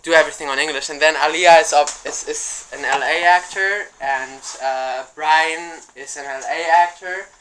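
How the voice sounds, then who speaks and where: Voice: mid-range voice, moderate pace, hardly any pauses, a crowd in the background. A filmmaker answering audience questions after a screening, talking fluently but wordily with frequent fillers. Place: cinema screening room. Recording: echoey room with slight noise.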